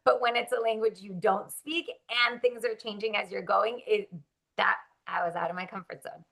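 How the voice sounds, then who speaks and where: A woman's voice: mid-range voice, fairly even intonation, moderate pace, creaky, a bit bright. A woman born in Russia, an actress chatting casually in an interview, upbeat and full of likes and ums.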